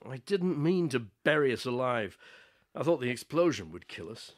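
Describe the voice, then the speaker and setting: A man acting in a radio play as a jealous, fatalistic geologist, husky-voiced. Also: medium pitch, very animated, moderate pace. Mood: despairing. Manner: accusing, brooding, resentful. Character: bitter, jealous, fatalistic young male geologist, betrayed husband.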